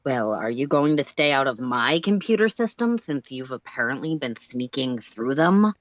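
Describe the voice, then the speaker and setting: A woman voicing a stern, guarded alien character with a deliberate, deadpan manner in a story reading. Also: rumbling low, no echo, lo-fi sound. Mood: testy. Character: stern, guarded, dry-witted male alien computer character.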